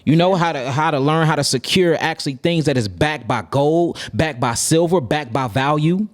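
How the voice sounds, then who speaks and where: A man's voice: on the high side, normal speed. A young man, lecturing as an interview guest, emphatic and preachy, stumbling and repeating words as he builds a point. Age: young adult.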